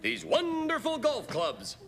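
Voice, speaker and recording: A high-voiced showy auctioneer. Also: male voice, sing-song, takes it slowly, a bit bright, full character voice. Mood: showy, brisk. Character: brisk, showy charity auctioneer in a cartoon. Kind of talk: children's cartoon voice acting, auction patter.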